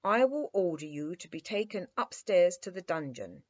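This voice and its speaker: A woman voicing a stern, self-important male toy captain with a gruff manner, in a children's storybook reading. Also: fairly low pitch, sing-song, normal speed, highs cut off. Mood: stern, pompous.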